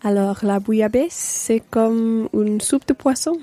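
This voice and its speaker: A woman's voice: a little high, takes it slowly, warm tone, no echo. A woman playing a friendly, sociable French mother in a scripted language-learning radio play. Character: friendly French mother of three, part-time English teacher.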